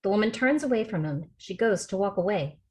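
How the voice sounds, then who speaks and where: A woman's voice: mid-range voice, lively intonation, brisk, a little mellow. A woman reading scripted screenplay narration as the stage-direction reader on a video call.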